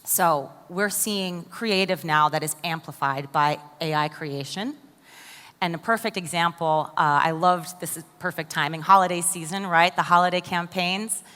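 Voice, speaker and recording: A woman's voice: lowish voice, normal speed, a bit bright. American woman speaking in a big hall. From United States. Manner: enthusiastic, addresses the audience directly with questions. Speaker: conference speaker. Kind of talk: keynote presentation. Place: large conference hall. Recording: long hall reverb.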